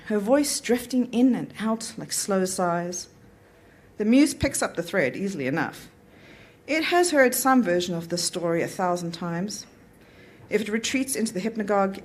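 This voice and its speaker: A woman reading a literary short story aloud at an event, in the narrator's voice. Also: mid-range voice. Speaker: author reading own story.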